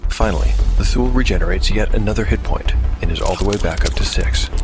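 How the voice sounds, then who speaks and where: A man's voice: lowish voice, fast, over loud music. A man narrating a tabletop role-playing game as a dramatic story, calling out the dice rolls in a theatrical way. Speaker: solo game narrator and player.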